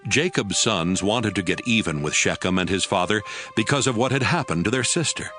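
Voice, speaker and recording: Male narrator for audio Bible, fairly low voice, scripted storytelling over clear music. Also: expressive, brisk, a bit bright. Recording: music bed under the narration.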